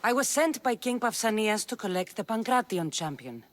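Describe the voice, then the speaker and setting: A woman's voice: mid-range voice, lively intonation, normal speed, natural voice. A woman playing a sardonic warrior. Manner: dry, sardonic. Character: dry-witted female warrior mercenary. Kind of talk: video game cutscene acting.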